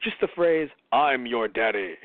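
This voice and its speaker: A man from New York with lively, swooping intonation chats as a review show co-host. He rambles comically, plays up his complaints and slips into mock quotes. The sound is dry and close. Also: mid-range voice, measured pace, no echo, phone-quality sound. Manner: rambling, jokingly whiny, acts out mock quotes. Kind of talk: casual comic banter and film review.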